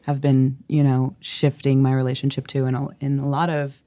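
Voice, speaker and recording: A woman's voice: very deep voice, little pitch movement, brisk, mellow, no echo. A woman co-hosting a podcast who is a singer, talking expressively and laughing easily in casual chat.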